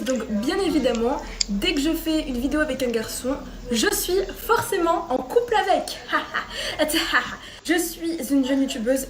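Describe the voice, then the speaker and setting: A young woman YouTuber talking playfully to her viewers in vlog clips. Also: sits high. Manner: bubbly, playful, addresses viewers. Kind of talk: upbeat vlog and skit talk. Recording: music under the voice.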